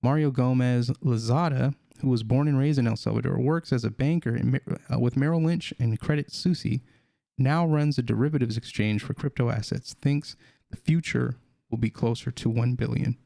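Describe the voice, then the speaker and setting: A man hosting a video, reading a news article aloud and adding his own comments, halting with fillers and restarts. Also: mid-range voice, creaky, mellow, no echo. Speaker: crypto video host.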